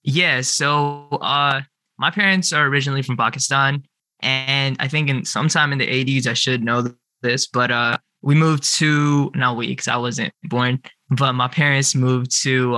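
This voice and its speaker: A man's voice: medium pitch, pushed and bright, no echo. A young man who grew up in Canada speaks hesitantly in a casual chat, with many ums and an uneven pace. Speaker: youth artist guest.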